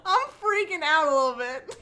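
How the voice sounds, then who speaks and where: A woman livestream host cold-reading aloud with mocking asides, animated, sarcastic and giggly, with casual swearing. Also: high-pitched, moderate pace.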